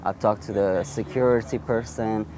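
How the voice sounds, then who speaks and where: Low-voiced man. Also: fairly even intonation, a little mellow, a little hiss or hum. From Iraq. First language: Assyrian. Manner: halting, with frequent restarts and repeated words. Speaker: interviewee. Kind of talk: personal storytelling.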